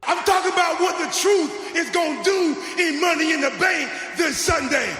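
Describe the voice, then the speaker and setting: A man voicing an obnoxious, shrill wrestling ranter who shouts, in an echoey recording. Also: normal speed. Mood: shrill, indignant. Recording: echoey with long reverb.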